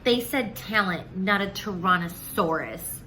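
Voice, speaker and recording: A woman's voice: medium pitch, lively intonation, measured pace, sharp tone, slight background noise, pitched below the performer's own voice. A woman voicing a snide, scornful young girl in a children's storybook read-aloud. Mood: mocking. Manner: scornful, dismissive. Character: snide, mocking girl ballet student.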